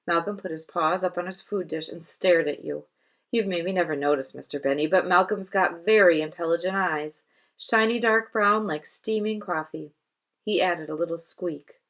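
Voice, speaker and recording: A woman reading her own children's novel aloud as expressive scripted storytelling. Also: fairly low pitch, normal speed.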